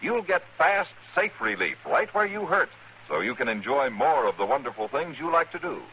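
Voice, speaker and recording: A man's voice: mid-range voice, sing-song, moderate pace, sharp tone. A North American man reading a scripted radio commercial as an announcer. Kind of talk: scripted radio advertisement read. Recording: slightly noisy old radio broadcast.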